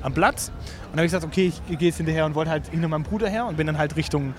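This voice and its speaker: A young man, telling anecdotes in a colloquial, halting, casual chat. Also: a little high, over music.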